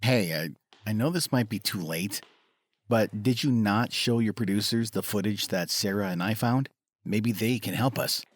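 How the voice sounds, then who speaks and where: An actor playing a grumpy, skittish motel owner in an audio drama, husky and grumbling in a colloquial way. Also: male voice, lowish voice, moderate pace, no room sound, lightly acted. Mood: sheepish.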